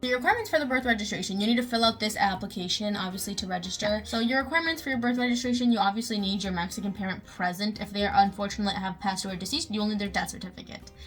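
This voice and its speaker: Young woman, casual how-to vlogger, rapid and filler-heavy, mid pitch. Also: fairly bright tone, faint music behind. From United States. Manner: chatty, lots of fillers like "you know" and "um".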